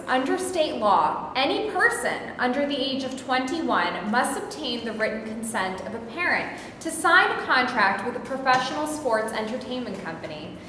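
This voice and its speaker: A woman reading the part of a brisk TV news reporter at a courthouse in a staged reading of a play, with an announcer-like delivery. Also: fairly high pitch, fairly bright tone. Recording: echoey hall, distant mic, faint noise.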